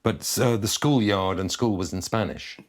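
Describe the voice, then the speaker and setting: A middle-aged man who grew up in Argentina, speaking as a guest musician in a relaxed interview with an easygoing, reflective manner. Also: on the low side, normal speed. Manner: easygoing, reflective, chuckles at his own jokes.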